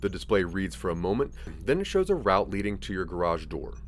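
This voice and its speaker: A man's voice: low-pitched, very animated, a little fast, slight background noise. A man giving a scripted second-person narration for an audio drama.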